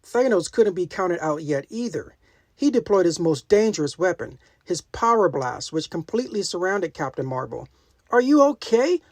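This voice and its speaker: A woman reading a children's adventure story aloud as scripted narration, with a dramatic, expressive delivery. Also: on the low side, moderate pace, no room sound. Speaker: storybook reader.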